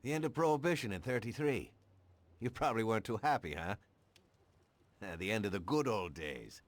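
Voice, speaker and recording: Game role: righteous cop played by a male actor, lowish but very animated, sarcastic, challenging, cynical, dry sound. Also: fairly quick, no room sound, natural voice. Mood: needling. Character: cynical, righteous male police detective questioning a mobster. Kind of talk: video game voice acting.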